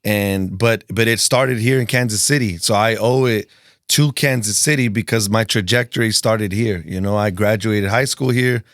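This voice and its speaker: A man from Washington State chatting casually as a podcast guest, animated and quick to swear. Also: lowish voice, dry recording, studio quality. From Washington State, USA. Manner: animated, excitable, swears freely.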